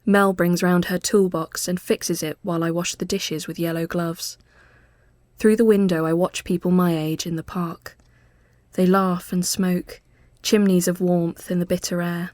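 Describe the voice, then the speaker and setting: Slow-paced woman narrator, dry close sound. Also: lowish voice, a little mellow, dry recording. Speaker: narrator reading a short story. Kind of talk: scripted literary narration, ghost story.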